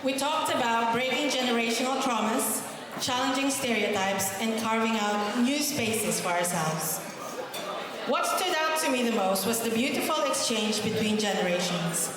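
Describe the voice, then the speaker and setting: A woman in her thirties from the Philippines giving an earnest, warm prepared speech as a founder at a gala dinner, into a PA microphone. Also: on the high side, moderate pace. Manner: warm, earnest, with light self-deprecating laughs. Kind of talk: prepared speech to a banquet crowd. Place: large hotel ballroom. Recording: echoey hall with crowd chatter.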